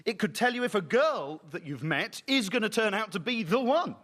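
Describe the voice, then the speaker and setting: Clumsy, pushy field reporter: high, bouncy delivery. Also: male voice, lively intonation, moderate pace, fairly bright tone. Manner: eager, fumbling, pushy. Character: bumbling pushy male sports reporter. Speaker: spoof reporter. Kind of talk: comedy radio sketch.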